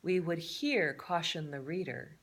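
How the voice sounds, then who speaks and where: A woman's voice: sits low, unhurried, a bit bright, husky. A woman reading a children's story aloud in a theatrical way, adding chatty, playful asides. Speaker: storybook reader.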